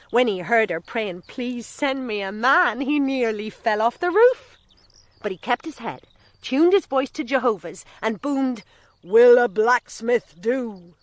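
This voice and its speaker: An actress playing a fanciful village mother who tells stories with theatrical relish in a radio drama. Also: high-pitched. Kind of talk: animated storytelling in a radio drama.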